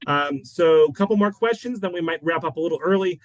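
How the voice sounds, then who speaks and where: A man hosting a library author interview over a video call, asking questions in a halting way full of fillers. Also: fairly high pitch, no room sound. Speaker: library event host.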